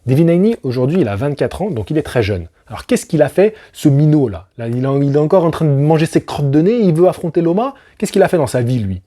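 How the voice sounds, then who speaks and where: A man's voice: fairly high pitch, animated, quick pace, few pauses, dark tone. A man hosting a casual boxing analysis, talking straight to the viewer with slangy asides and rhetorical questions. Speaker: boxing channel host.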